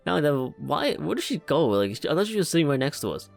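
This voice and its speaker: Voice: mid-range voice, big pitch swings, brisk, gravelly, faint music behind. A let's play streamer reacting casually to a story game.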